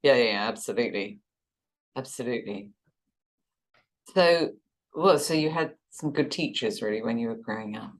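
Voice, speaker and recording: A woman's voice: a bass voice, animated, normal speed, long pauses. A middle-aged woman, a therapist hosting the conversation, empathetic, with frequent tag questions. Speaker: therapist and host. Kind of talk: supportive interview conversation.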